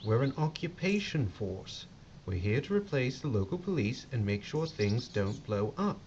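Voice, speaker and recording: A wry, courteous American intelligence officer in a war audio drama, played by a man. His mid-pitched voice moves in a lively way, with an American accent. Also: moderate pace, natural voice. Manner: wry, polite. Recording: dull lo-fi recording with faint noise.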